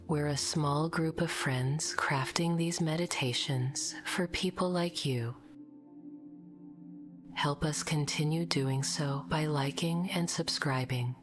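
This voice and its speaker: A woman with a low, soft voice that often slips toward a whisper leads a guided meditation. She speaks very slowly and gently, in a calm, soothing, scripted delivery. Music plays behind her.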